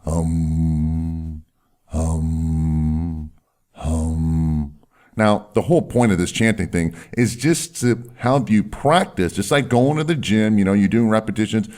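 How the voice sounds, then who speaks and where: A man, a doctor, teaching viewers in an instructional video, emphatic and slowing down to drop his voice low on key words. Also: darkish tone. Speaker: doctor and health video host. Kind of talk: instructional video talk to camera.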